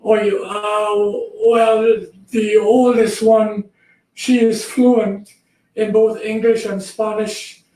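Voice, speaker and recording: The courteous man in his eighties you would hear recounting his life from a bare, echoing room, mellow and unhurried. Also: sits high, level tone. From Trinidad. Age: 80s. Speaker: guest, recovered patient. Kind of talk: conversational interview answers.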